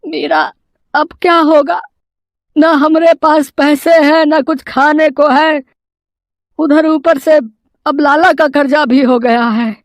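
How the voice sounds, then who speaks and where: A woman voicing a poor, humble, anxious village farmer in an animated moral story, with a hesitant, pleading manner. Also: high-pitched, slow pace, dry recording, clean studio sound, voice pushed up. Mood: distressed.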